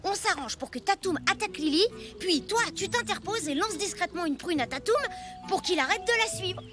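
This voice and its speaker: A woman voicing an earnest, excitable and easily flustered young boy in a children's cartoon. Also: very high-pitched, a little fast. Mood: scheming. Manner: hesitant, pleading, excitable. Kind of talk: French animated children's cartoon dubbing. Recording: music under the voice.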